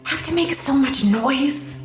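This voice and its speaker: A woman's voice: high-pitched, expressive, slow, faint music behind. An actress playing a tough, trigger-happy young gangster woman, curt and sarcastic, in a radio crime drama. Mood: edgy, sarcastic. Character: tough, trigger-happy young gun moll, brash and defiant.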